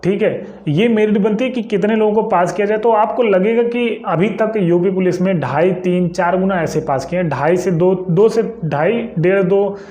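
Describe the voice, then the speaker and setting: A man's voice: high-pitched, moderate pace, few pauses, warm tone. A young man explains things informally to viewers, often adding short check-in tags as he goes. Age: young adult. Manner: conversational, frequently checking understanding with short tags. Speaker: YouTube exam-information presenter. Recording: echoey room sound.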